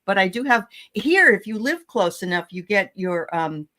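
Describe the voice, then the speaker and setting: A woman's voice: mid-range voice, animated, moderate pace, bright, forceful tone. Chatty Boston-accented woman hosting. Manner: chatty, greets viewers by name. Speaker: host. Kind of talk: live stream chat.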